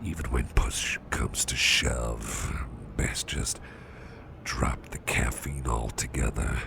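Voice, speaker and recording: A man playing a protective, gentle boss in a soft ASMR roleplay, fussing reassuringly in a half-whisper with hesitant, repeated phrases. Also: steady tone, slow, heavily acted. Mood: caring, advising. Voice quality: very deep gravelly half-whisper. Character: protective, gentle, fussing male boss comforting exhausted employee. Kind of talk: ASMR roleplay, close personal attention. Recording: close recording with loud background noise.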